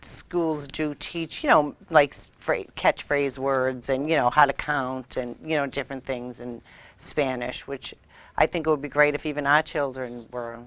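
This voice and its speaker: A woman's voice: a bass voice, fairly even intonation, unhurried, a bit bright. A middle-aged woman, a school committee member, speaking earnestly as a guest in a small studio interview, often saying "you know". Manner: earnest, uses many fillers like "you know". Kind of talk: interview conversation. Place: small TV studio set at a table.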